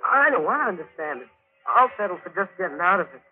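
A man's voice: high voice, very animated, quick pace, over music. A man acting a nervous, wide-eyed boy sidekick in an old science fiction radio drama, anxious and exclamatory. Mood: frightened. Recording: tinny band-limited old radio, faint noise.

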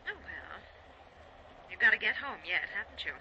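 An actress playing an estranged wife in a radio play, with a dramatic delivery. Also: female voice, medium pitch, big pitch swings, normal speed, a crowd in the background. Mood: cool defiance. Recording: dull lo-fi radio recording, background hiss.

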